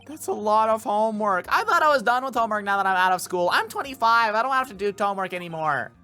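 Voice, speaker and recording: A man voicing an earnest, excitable young male defense attorney from a video game, with a dramatic manner. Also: high voice, quick pace, smooth, soft background music, pitched above the performer's own voice. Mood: whiny.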